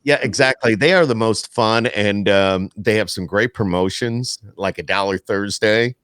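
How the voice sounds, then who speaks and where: Low-voiced American man chatting casually. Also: moderate pace, fairly bright tone, smooth, no room sound, studio quality. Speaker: podcast host and radio announcer. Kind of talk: casual podcast chat.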